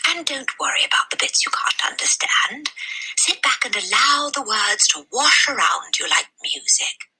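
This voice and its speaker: A woman voicing a kindly, concerned village librarian with a gentle, swooping delivery in a children's storybook reading. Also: a little high, very animated, normal speed, husky, in a whisper, pitched above the performer's own voice, full character voice, rough recording. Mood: kindly, helpful. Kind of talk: children's storybook read-aloud.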